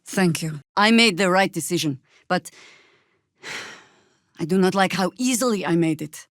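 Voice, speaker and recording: A middle-aged woman playing a seasoned, wry druid warrior in a fantasy video game, speaking in a measured, dry, formal way. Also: fairly low pitch, dry recording, natural voice. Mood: reflective, regretful. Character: seasoned, wry female druid warrior, weary but resolute.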